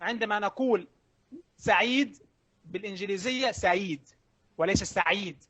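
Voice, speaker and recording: A man's voice: sits high, moderate pace, pushed and bright, breathy edge, no room sound. A man debating combatively, pressing challenges and repeating himself insistently.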